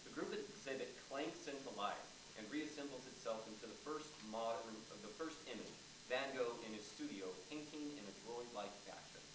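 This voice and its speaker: A man's voice: mid-range voice, normal speed, hardly any pauses. Distant echoey male narrator reading stage directions. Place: large indoor theatre space, staged reading. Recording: distant echoey room, clearly noisy.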